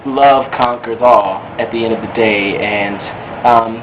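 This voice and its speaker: A man's voice: lowish voice, fairly even intonation, a little slow. A man answering thoughtfully in an interview as a cast member. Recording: dull lo-fi sound with background noise.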